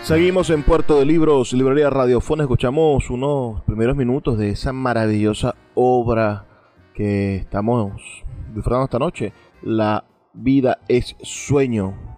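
A man's voice: mid-range voice, fairly even intonation, a little slow, mellow. Male radio host over music. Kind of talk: literary radio commentary and scripted promo.

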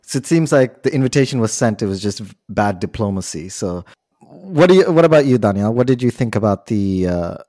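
Low-voiced playful podcast host. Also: male voice, lively intonation, a little fast, warm tone, no echo, studio quality. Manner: playful, teasing questions. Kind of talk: casual podcast interview.

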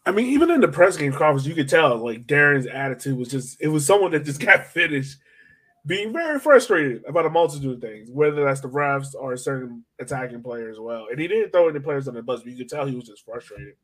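A man's voice: mid-range voice, animated, fairly quick, fairly bright tone. A man in a casual sports podcast discussion, thinking aloud and posing questions in a tentative way. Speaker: soccer podcast co-host.

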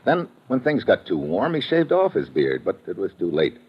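An actor playing a shrewd, hard-boiled detective with blunt, colloquial delivery in an old radio crime drama. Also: male voice, low-pitched, wildly swooping pitch, moderate pace, husky, exaggerated voice. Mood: explaining, confident. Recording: dull lo-fi old radio recording.

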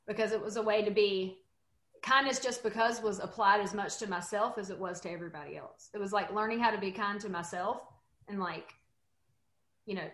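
A woman's voice: medium pitch, fairly bright tone, echoey room. A woman in her late thirties, a guest on a casual panel, talking candidly and rambling a bit. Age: 30s. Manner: candid, rambling, laughs easily.

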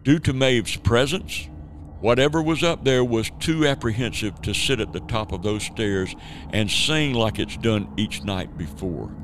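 A man narrating an audio drama in a measured storytelling way. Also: mid-range voice, slight background noise. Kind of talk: scripted narration.

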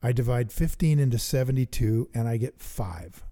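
A middle-aged man co-hosting a chat about investing, eager and thinking out loud as he works sums aloud. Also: mid-range voice, lively intonation, normal speed. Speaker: investing podcast co-host. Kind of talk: casual teaching chat.

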